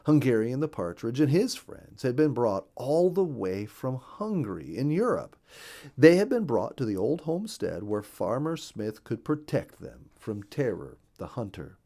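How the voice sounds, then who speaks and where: A man reading a children's nature story aloud as an expressive, careful storyteller. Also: medium pitch, a little slow.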